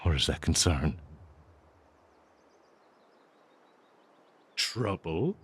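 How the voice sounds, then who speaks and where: A man's voice: deep voice, big pitch swings, a bit bright, husky, heavily acted. A man playing a cursed talking dagger, possessive, lovestruck and bloodthirsty, in a melodramatic, cooing audio roleplay addressed to the listener. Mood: menacing, possessive. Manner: melodramatic, cooing, slow drawn-out delivery with manic giggles.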